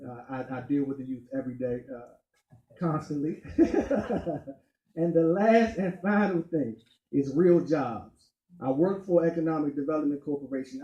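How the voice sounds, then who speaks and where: A man speaking as a candidate at a community meeting, emphatic and deliberate, pausing on key points. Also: a little high, dark tone, muffled recording. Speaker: county council candidate. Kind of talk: campaign speech at community meeting. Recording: echoey meeting room.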